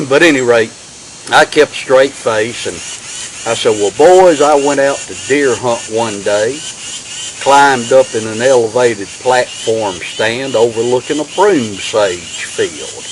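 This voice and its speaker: Male storyteller, Southern US accent, middle-aged or older, mid-pitched voice, folksy drawl of a yarn-spinner, some background hiss. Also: animated. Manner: folksy, drawn-out, with homespun sayings. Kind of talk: folksy tall-tale storytelling to camera. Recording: clearly noisy, hissy recording.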